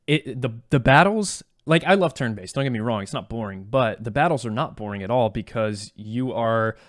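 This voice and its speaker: A man's voice: on the low side, fairly bright tone, no echo, clean studio sound. A man co-hosting a podcast, giving his opinions on games in casual chat. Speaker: podcast co-host. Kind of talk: casual game review chat.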